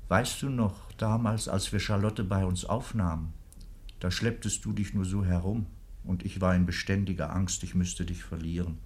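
A man's voice: low voice, fairly even intonation, moderate pace, a little mellow. A man playing a kindly, indulgent old provost in a literary radio drama, affectionate and a little hesitant. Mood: reluctant, reminiscing. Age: older.

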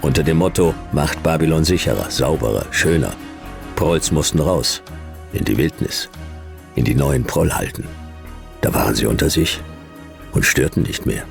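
An actor playing a world-weary, wry private detective in a futuristic radio crime drama, gravelly, laconic and deadpan in clipped sentences. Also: male voice, very deep voice, moderate pace, pauses often, faint music behind, heavily acted. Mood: deadpan, sardonic. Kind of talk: noir radio drama, first-person narration and dialogue.

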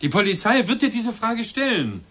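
An actor playing a stern, suspicious bereaved husband in a German radio drama, insistent and clipped, in standard German. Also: male voice, sits high, big pitch swings, normal speed, pushed and bright, husky, exaggerated voice.

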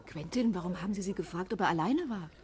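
An actress playing an eager, inquisitive investigator's assistant in a 1960s German radio crime drama, alert and questioning. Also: female voice, lowish voice, fast talker, darkish tone, close to an everyday voice.

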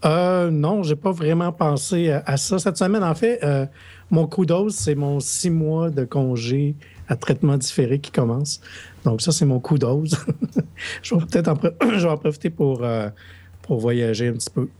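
A man with a Québécois French accent co-hosting a casual podcast, hesitant and quick to laugh. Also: a little high, dark tone. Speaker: podcast co-host.

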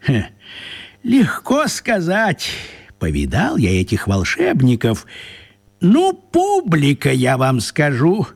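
A puny, shifty old wizard in a radio play. His husky voice leaps wildly up and down at an easy, slowish pace as he chatters with fussy, flowery politeness and evasive little giggles. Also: on the high side, wildly swooping pitch, exaggerated voice. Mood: flustered, mocking. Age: older.

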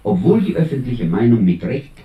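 An actor playing an accusing man who reads out an indictment in emphatic, deliberate rhetorical questions, in 1950s radio drama style. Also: mid-range voice, very animated, natural voice. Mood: accusing, solemn. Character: accusing male public voice reading a scandal indictment. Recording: dull, echoey 1950s recording, distant.

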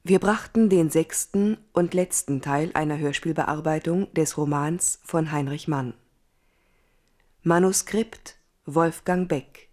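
A woman's voice: low-pitched, slow pace, darkish tone. A woman reading scripted closing credits as a radio announcer.

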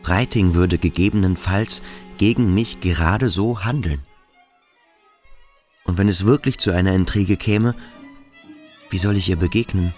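An actor playing a stern, brooding boarding-school pupil who probes and commands in a German radio play. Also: male voice, low-pitched, measured pace, pauses often, darkish tone, faint music behind. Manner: insistent, probing, commanding.

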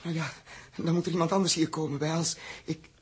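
An actor playing a guilt-ridden young spaceship crewman in a 1960s Dutch radio play, raspy and distressed. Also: fairly high pitch, steady tone. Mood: distraught, guilty. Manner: hurried, distressed. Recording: 1960s radio drama recording.

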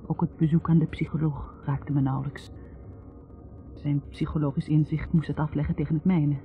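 A woman's voice: deep voice, natural voice. An actress playing a self-assured, independent young woman recalling her love in a 1960s radio drama. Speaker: actor in a radio play. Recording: thin, phone-like 1960s radio recording.

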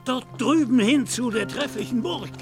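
An actor playing a deluded, gaunt Spanish would-be knight in a radio play, declaiming in pompous, archaic, ceremonious language. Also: male voice, extremely high pitch, moderate pace, over music. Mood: commanding, fiery. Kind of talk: literary radio play acting.

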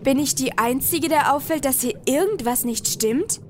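A woman's voice: sits high, expressive, normal speed, darkish tone. A woman playing a sceptical, sarcastic young adventurer in a comedic fantasy audio drama. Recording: faint background noise.

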